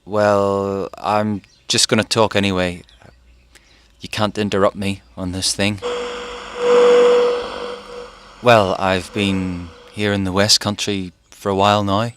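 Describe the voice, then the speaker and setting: An actor playing a haunted fugitive physicist in a wartime audio drama, musing hesitantly as if recording a diary. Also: male voice, fairly low pitch, flat delivery, a little slow, a bit bright, slight background noise. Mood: weary, rambling. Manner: hesitant, musing, with sighs and broken phrases. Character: haunted, rambling fugitive physicist, man living through a war.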